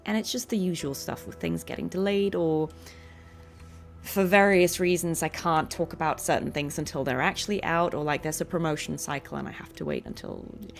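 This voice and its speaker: Woman streamer just chatting w viewers, lowish voice w lots of fry, giggly n sweet to chat, music going behind. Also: animated, fairly quick. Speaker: streamer and voice actress. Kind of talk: casual livestream chat to viewers. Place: at home.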